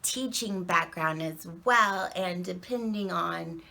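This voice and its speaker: A woman working as an acting and presentation coach, speaking in a naturally soft voice in an earnest, measured recorded statement with frequent ums. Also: on the low side, gravelly.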